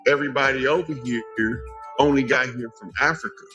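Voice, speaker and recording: A man's voice: mid-range voice, fairly quick, bright, forceful tone, faint music behind. An American man speaking as a guest, lecturing conversationally in an emphatic, declarative manner. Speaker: interview guest. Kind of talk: conversational spiritual history lecture.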